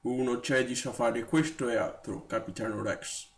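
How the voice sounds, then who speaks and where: A man voicing a calm, commanding non-human Jedi general in an amateur stop-motion fan dub. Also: medium pitch, moderate pace, close to an everyday voice. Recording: roomy, echoey home recording.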